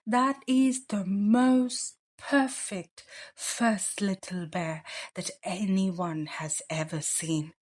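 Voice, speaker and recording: A woman voicing a loving father bear, gentle and reassuring, in a children's storybook read-aloud. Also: mid-range voice, steady tone, extremely slow.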